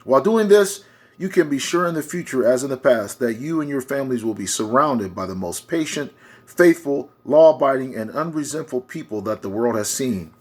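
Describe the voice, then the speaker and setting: A man's voice: mid-range voice, animated, moderate pace, slightly rough. A man reading a historical speech aloud in a declamatory, oratorical way, sometimes stumbling over words. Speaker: reader.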